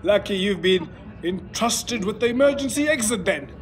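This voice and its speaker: An actor playing a chatty, over-friendly airline passenger in a modern audio drama. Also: male voice, high-pitched, lively intonation, normal speed, faint music behind. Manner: chatty, familiar.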